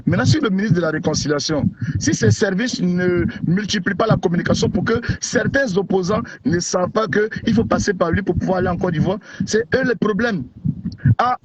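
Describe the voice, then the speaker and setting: A man's voice: sits high, a little fast. A man, an outspoken blogger talking emphatically and repeating himself as an interview guest over a call. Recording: dull lo-fi call audio with noise.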